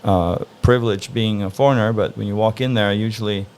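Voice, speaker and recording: A man from Norway answering interview questions in a relaxed, thoughtful way, pausing often to find his words. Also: fairly low pitch, slight background noise. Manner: relaxed, thoughtful, frequent "uh" pauses. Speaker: interview guest.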